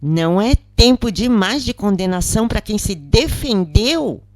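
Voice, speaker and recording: Woman reading as troubled, slow-spoken female prisoner. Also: medium pitch, animated, no room sound, pitched above the performer's own voice, lightly acted. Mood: earnest, concerned. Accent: Brazilian. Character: troubled woman prisoner Gilda, remorseful, questioning, uncertain.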